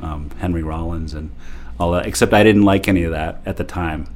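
A man in his forties from Japan, a DJ guest telling stories in an animated, laughing way with lots of fillers. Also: sits low, fairly quick. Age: 40s. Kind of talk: casual interview storytelling.

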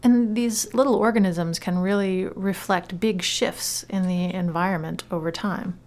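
A woman's voice: fairly low pitch, fairly even intonation, unhurried, a little mellow. A woman hosting a talk show, interviewing in a conversational style.

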